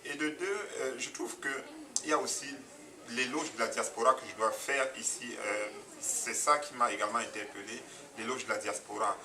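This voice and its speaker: A man, his voice at an ordinary middle pitch, comments off the cuff with frequent hesitations and restarts. He is picked up from a distance in a hall, and a crowd is clearly audible around him. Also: normal speed. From Cameroon. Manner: hesitant, many fillers and restarts, earnest. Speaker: audience member praising a documentary. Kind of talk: spontaneous public comment. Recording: distant mic with crowd noise.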